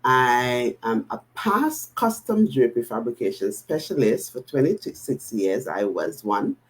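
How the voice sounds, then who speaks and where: A woman's voice: rumbling low, very animated, a little slow, rough recording. A woman joining a livestream video call who introduces herself in a formal way. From US Virgin Islands. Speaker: guest business partner.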